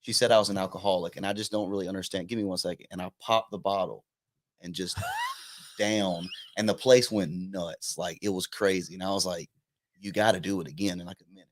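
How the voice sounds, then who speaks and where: A man's voice: low-pitched, fairly even intonation, fairly quick, dry recording. A man in his twenties from South Carolina, a guest comedian telling self-deprecating stories with frequent laughs in a casual podcast chat. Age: 20s.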